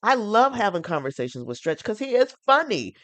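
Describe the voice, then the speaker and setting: A woman's voice: medium pitch, sing-song, a little fast, no room sound. A congested woman hosting a podcast, chatting casually in a rambling, enthusiastic way, restarting her sentences often. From North Carolina. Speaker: podcast host. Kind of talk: casual porch chat.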